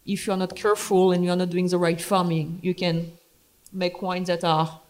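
A woman with a French accent moderating a live panel discussion. She talks in a chatty, halting way, with frequent fillers and laughs. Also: lowish voice, little pitch movement, a little mellow. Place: event hall.

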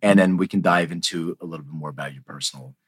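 A man's voice: deep voice, fast, slightly rough, no echo, clean studio sound. A man hosting a podcast in a casual, rambling conversational interview style. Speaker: podcast host.

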